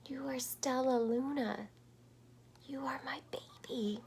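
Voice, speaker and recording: Woman voicing a tender, hushed mother bat. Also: high voice, steady tone, slow pace, a little hiss or hum, pitched above the performer's own voice. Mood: tender wonder. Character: gentle, loving adult mother bat.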